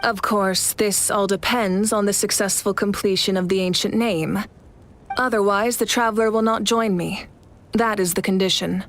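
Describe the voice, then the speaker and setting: A woman voicing a resolute, composed female leader of a warrior nation in a video game, speaking in a measured, assured, formal manner. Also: mid-range voice, fairly even intonation, a little hiss or hum, close to an everyday voice. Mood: solemn, resolute. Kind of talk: video game story voice acting.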